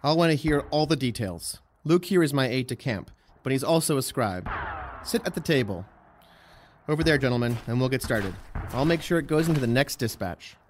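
A man acting as a dutiful young Roman chronicler in a full-cast audio drama. His medium-pitched voice is formal, literate and courteous, with an earnest temper. There is slight background noise. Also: expressive, brisk, natural voice. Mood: composed. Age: young adult.

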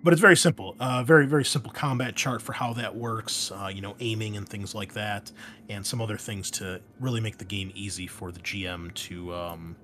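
A man's voice: on the low side, steady tone, fairly quick. A man talking as a host and game master, explaining tabletop game rules informally and stressing key words. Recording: noticeable background noise.

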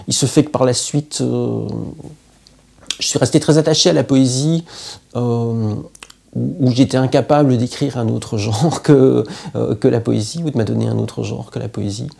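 A man, a poet and literature teacher speaking to camera in an interview, reflective and halting with frequent hesitations. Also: mid-range voice, fairly even intonation, gravelly, dark tone, breathy edge. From Belgium. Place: indoor room with plants, at home.

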